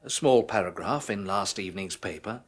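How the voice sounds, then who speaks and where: An actor as a husky, courteous visiting gentleman. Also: lowish voice, normal speed, close to an everyday voice. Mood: calm. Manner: soft-spoken, courteous. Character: polite mysterious visiting gentleman.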